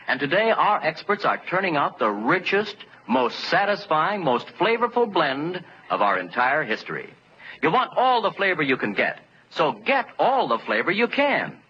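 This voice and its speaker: A dull old radio recording with faint noise carries an American man with a bright, forceful voice. His emphatic sales delivery swings widely in pitch, in 1940s advertising style. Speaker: radio commercial announcer.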